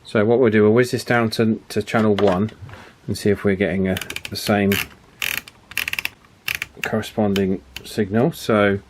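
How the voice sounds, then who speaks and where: A man's voice: fairly low pitch, little pitch movement, a little slow, pauses often, noisy background. A man giving casual hands-on repair commentary, thinking aloud in a hesitant, methodical way and repeating himself as he works. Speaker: radio repair hobbyist presenting a video.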